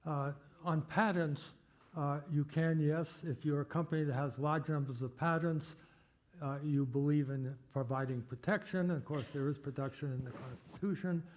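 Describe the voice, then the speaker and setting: An older man with a Boston accent, answering audience questions at a lecture in a halting way with many fillers. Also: a little high, fairly even intonation, a little mellow. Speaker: guest speaker.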